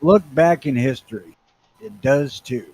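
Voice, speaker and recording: A man's voice: mid-range voice, unhurried, darkish tone. A blunt, opinionated man co-hosting a podcast, swearing freely in casual chat. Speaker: podcast co-host.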